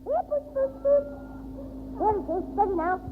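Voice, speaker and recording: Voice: extremely high pitch, lively intonation, normal speed, phone-quality sound. Impatient Northern English schoolboy, piping and wisecracking, 1960s radio comedy, thin and very noisy recording. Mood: coaxing. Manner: exasperated, sarcastic wisecracking. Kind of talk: 1960s British radio sitcom acting.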